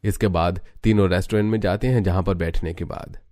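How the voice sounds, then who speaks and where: Low-pitched man narrating a cartoon story. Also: steady tone, fairly quick, warm tone, faint music behind.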